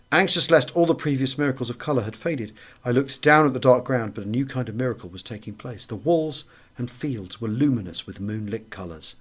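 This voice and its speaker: Male audiobook narrator, mid-pitched voice, for literary first-person wartime memoir.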